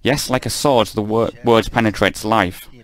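Man, lower-pitched voice, live sermon interpreter, measured delivery, occasional slips and restarts. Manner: measured, with frequent stumbles and self-corrections.

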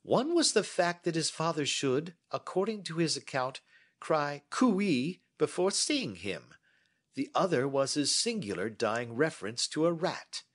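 A man's voice: fairly high pitch, big pitch swings, measured pace, voice pushed up. A man reading as a brilliant, self-assured Victorian detective who explains his deductions precisely. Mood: explaining.

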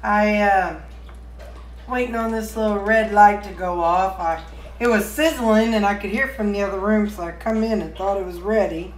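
A woman's voice: mid-range voice, moderate pace, a little hiss or hum. A woman vlogging as a home cook, chatting casually in a rambling, folksy way while she demonstrates in her kitchen. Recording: roomy, echoey kitchen sound.

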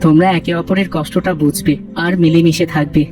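Woman voicing a low-pitched devout father. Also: fairly even intonation, music in the background, lightly acted. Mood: earnest, caring. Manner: measured, fatherly advising. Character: devout, loving father of two girls in cartoon.

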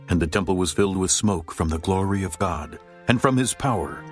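A man narrating scripture in a slow, solemn, stately reading over music. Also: fairly low pitch.

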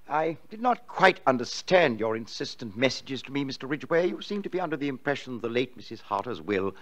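Male actor as pompous family solicitor, formal, precise, lively intonation, mid pitch, radio drama. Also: brisk, lightly acted. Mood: stiff, disapproving.

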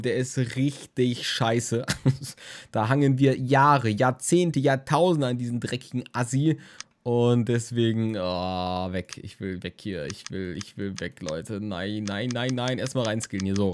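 A man's voice: mid-range voice, a little slow. Tired young man, rambling game commentary. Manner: rambling, jokey, crude humor. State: tired, just woke from a nap. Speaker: let's play host.

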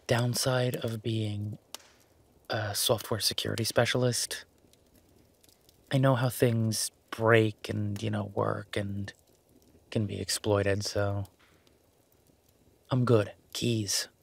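A man playing a shy, gentlemanly boyfriend in an intimate romantic audio roleplay, speaking softly and haltingly with gentle teasing. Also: on the low side, level tone, gravelly, slightly rough, no room sound, heavily acted. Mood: wry, relaxed. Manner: hesitant, soft-spoken, gently teasing.

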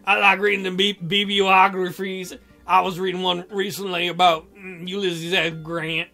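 Male let's-play narrator, bright and high, theatrical reader with joking asides, faint music underneath. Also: level tone, moderate pace, vocal fry. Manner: jokey, theatrical, breaks into silly mock voices. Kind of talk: reading a visual novel aloud with joking commentary. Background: game music.

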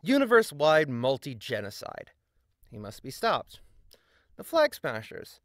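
A man delivers scripted video essay narration with a very animated pitch. He is sardonic and emphatic, dropping in dry asides. The recording is close and dry, with little room sound. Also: unhurried, no room sound, faint music behind.

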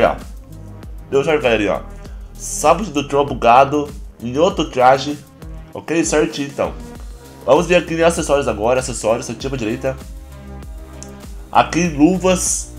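Hoarse Brazilian man with stuffy nose. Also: mid-range voice. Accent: Brazilian Portuguese. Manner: relaxed, chatty, constant filler address to viewers. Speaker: gaming YouTuber. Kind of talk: casual gameplay tutorial.

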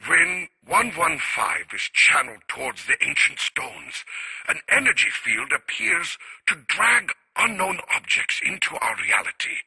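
An actor playing a grave, obsessed scientist who records formal, deliberate research logs for a video game. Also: male voice, fairly high pitch, gravelly, pushed and bright, husky, no echo, full character voice, rough recording. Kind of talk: scripted audio-log monologue.

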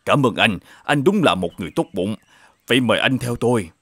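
A man voicing a mysterious old Taoist sage in an animated fairy tale, courteous and formal in manner. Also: mid-range voice, fairly quick, no echo. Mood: solemn. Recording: music behind the voice.

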